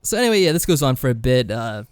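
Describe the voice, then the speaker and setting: Male podcast co-host, wry and tongue-in-cheek, casual recap delivery, mid-pitched, very dry close recording. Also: big pitch swings, fast, smooth, no room sound. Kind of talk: casual discussion and game recap.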